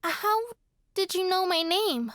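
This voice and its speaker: A woman's voice: extremely high pitch, animated, slow, a bit bright, dry recording, studio quality, heavily acted. A woman playing a vain, fussy, spoiled little girl in a radio drama, with a petulant, blunt manner. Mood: wary. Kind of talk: horror radio drama acting.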